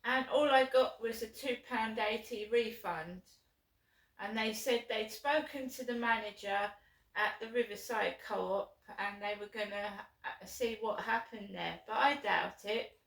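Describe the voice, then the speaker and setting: A woman with a sore throat vlogging alone from her flat, rambling and aggrieved, with many ums. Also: medium pitch, measured pace, gravelly. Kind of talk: casual chat to camera. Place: small flat, indoors. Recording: echoey room, mic at a distance.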